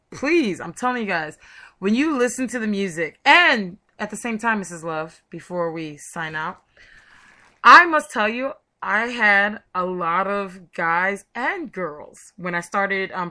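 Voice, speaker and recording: Woman, show host, bright forceful voice, gushing, chatty interview style. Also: medium pitch, sing-song, measured pace. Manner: effusive, gushing. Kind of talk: chatty promotional interview hosting.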